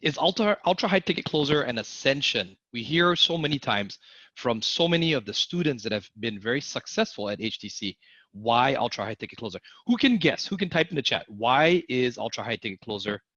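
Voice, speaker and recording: A man's voice: mid-range voice, brisk, no room sound, lo-fi sound. A man teaching a live online sales class, energetically asking viewers to answer in the chat. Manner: energetic, asks audience to type in the chat. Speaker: sales course instructor.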